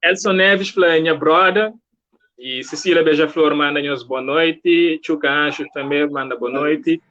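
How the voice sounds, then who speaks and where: A man's voice: fairly high pitch, fairly even intonation, a little slow, fairly bright tone, muffled recording. A man with a Cape Verdean accent hosting a casual live chat in a jovial manner. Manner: jovial, reads out viewer comments. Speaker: interviewer, host.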